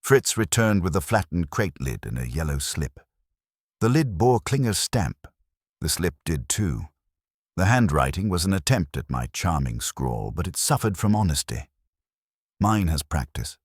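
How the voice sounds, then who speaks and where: A man narrates a first-person detective audiobook. His voice is extremely deep, with lively movement in pitch. The delivery is wry and deadpan, in a dry, close recording. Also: a little slow, husky, no room sound.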